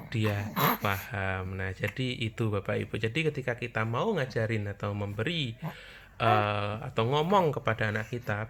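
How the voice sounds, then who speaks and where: A man's voice: fairly low pitch, fairly bright tone, slight background noise. A man in his thirties from Java, with a Javanese accent in Indonesian, chatting casually to camera about raising small children, repeating his points and speaking to parents directly. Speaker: parenting channel host.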